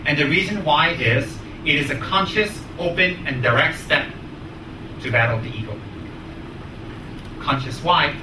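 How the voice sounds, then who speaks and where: A man with an American accent teaching Buddhism to students, speaking emphatically and deliberately in short repeated phrases. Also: a little high. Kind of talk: spoken teaching with questions to students. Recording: echoey room, distant mic, clear noise.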